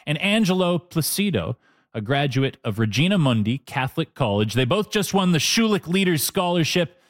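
A male radio host conducting an interview, lively and prone to repeating words. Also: fairly high pitch, moderate pace.

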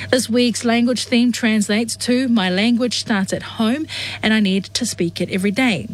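New woman, news presenter, higher voice, steady scripted read. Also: low-quality recording. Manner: steady, clear newsreader delivery.

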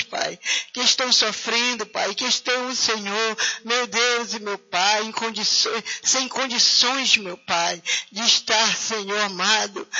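A man's voice: high voice, sharp tone. A man leading a fervent intercessory prayer, pleading and calling out again and again between phrases. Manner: pleading, with constant repeated invocations. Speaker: prayer leader. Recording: dull lo-fi recording.

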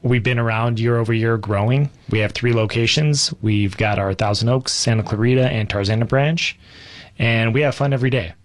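A man's voice: medium pitch, brisk. A man in his thirties with an American accent, answering as a guest in a conversational radio interview. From United States, moved from Israel at three. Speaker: radio guest, business owner.

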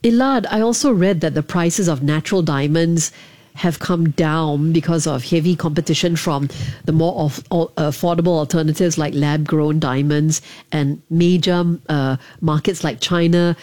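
A woman's voice: low-pitched, mellow. A woman interviewing a guest, asking inquisitive follow-up questions. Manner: inquisitive, prompting follow-ups.

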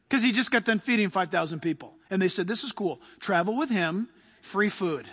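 High, bright-voiced male preacher in a reverberant hall. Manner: emphatic and exhortatory, conversational, swinging from hushed asides to shouts. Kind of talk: sermon.

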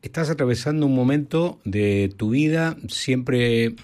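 A man, an interviewer asking measured, reflective questions. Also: mid-range voice, expressive, darkish tone.

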